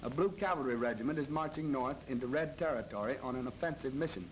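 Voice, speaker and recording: 1930s American training film narrator, noisy old soundtrack. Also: male voice, medium pitch. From United States. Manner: formal, measured, matter-of-fact.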